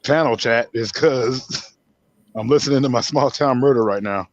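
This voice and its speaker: A man's voice: medium pitch, moderate pace, no room sound, highs cut off. A man chatting casually on a live stream, joking crudely and laughing often. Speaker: stream co-host.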